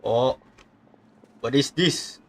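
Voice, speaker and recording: A man's voice: mid-range voice, extremely slow, slight background noise. A Malaysian man, a native Malay speaker, chatting casually as he streams a game and greets his viewers. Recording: game audio and music behind.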